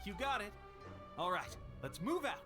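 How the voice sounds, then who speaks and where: A man's voice: high-pitched, big pitch swings, moderate pace, over music. A man playing an eager, friendly young soldier in an anime fantasy game, earnest and chatty. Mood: upbeat, proud.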